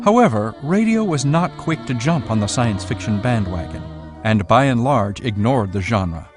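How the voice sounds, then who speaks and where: A man narrates a scripted documentary as its presenter. His voice sits at a middle pitch, with music clearly playing behind it. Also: expressive, moderate pace.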